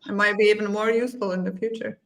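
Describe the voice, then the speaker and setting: Gravelly-voiced woman interviewer. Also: medium pitch, level tone, fast, a bit bright. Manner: brief affirming replies, loose questions. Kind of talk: casual interview.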